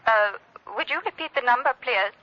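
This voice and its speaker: A woman's voice: fairly high pitch, fairly quick, close to an everyday voice. An actress playing an obliging, businesslike telephone switchboard operator with precise diction, in 1940s radio style. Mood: polite, businesslike. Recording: dull, noisy vintage radio recording.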